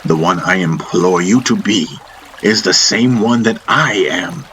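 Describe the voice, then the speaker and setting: A man's voice: sits low, a little slow, a bit bright, slight background noise. A man voicing an eccentric, teasing spiritual master in a fantasy audiobook, preaching emphatically in rhetorical questions and lessons. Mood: emphatic teaching. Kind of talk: audiobook character voice. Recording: music under the voice.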